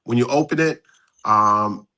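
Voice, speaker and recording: A man's voice: medium pitch, a little slow, bright, forceful tone. A man in his fifties, a guest on a live stream, casually walking through numbers step by step. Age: 50s.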